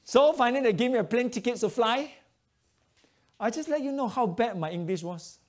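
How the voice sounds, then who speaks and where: A man's voice: extremely high pitch, a little fast. Malaysian man preaching in broken English. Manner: broken English, animated storytelling. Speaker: preacher giving testimony. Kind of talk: testimony sermon.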